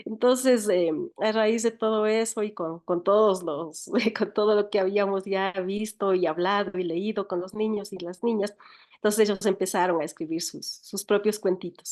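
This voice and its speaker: A woman's voice: medium pitch, darkish tone. A woman from Ecuador with an Ecuadorian accent, speaking conversationally as a guest writer and educator in an interview.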